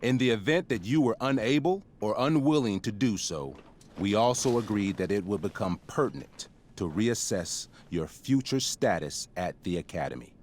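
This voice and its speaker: A man's voice: on the low side, animated, moderate pace, a little hiss or hum, close to an everyday voice. A man playing a stern, pompous middle-aged school principal in a dramatic video game, speaking in formal, wordy phrases.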